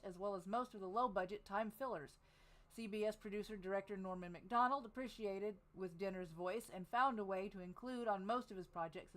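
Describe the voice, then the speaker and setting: A woman's voice: mid-range voice, poor audio. A woman podcast host reading a biography aloud in a casual way, laughing off her own slips.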